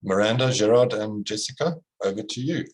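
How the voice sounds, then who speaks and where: A man from Africa, hosting an online event and giving a slow, formal introduction over a video call. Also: on the low side, slightly rough. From South Africa. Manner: slow and deliberate, with pauses. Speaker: host.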